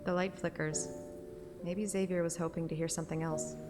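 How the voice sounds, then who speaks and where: A woman's voice: lowish voice, level tone, normal speed, big-room sound, over music. A woman narrating a fantasy romance game story in an audiobook style. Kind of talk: audiobook narration with acted dialogue.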